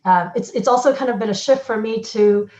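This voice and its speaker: A woman's voice: on the high side, a little fast, a little mellow. A woman pastor, born in the Philippines and raised in Korea and Ohio, reflecting thoughtfully on her past with many fillers and restarts. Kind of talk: personal reflection, casual. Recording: roomy, dull lo-fi sound.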